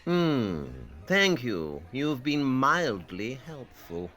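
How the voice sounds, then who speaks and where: A man's voice: mid-range voice, big pitch swings, very slow, bright, forceful tone, soft background music, exaggerated voice. An actor playing a brusque, impatient, commanding male detective in an audio drama, curt and precise. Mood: brisk, probing.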